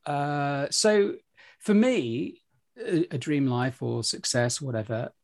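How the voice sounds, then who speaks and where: A man's voice: fairly high pitch, lively intonation, slow, darkish tone, dry recording, studio quality. A man talking as a podcast guest, earnest and upbeat, rambling with many restarts. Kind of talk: casual interview chat.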